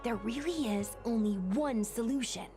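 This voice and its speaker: A woman's voice: fairly high pitch, normal speed, dark tone, faint music behind, lightly acted. A woman voicing an earnest, supportive young female companion in a video game. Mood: thoughtful. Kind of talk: game cutscene acting.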